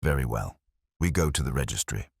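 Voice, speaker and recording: A man performs a commanding Victorian detective in an audiobook mystery. The voice is extremely deep yet moves expressively, recorded close and dry. The phrasing is deliberate, exact and coolly analytical. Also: expressive, husky, dry recording, heavily acted. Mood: measured, decisive. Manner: precise, deliberate, deductive.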